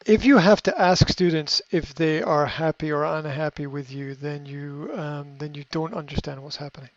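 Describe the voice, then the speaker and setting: A man speaking in a fairly high voice, coaching in an unscripted, reflective way. He pauses with fillers and restarts sentences as he thinks. The recording is dry and a little muffled. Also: no room sound. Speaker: coach answering a question. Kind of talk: unscripted advice talk.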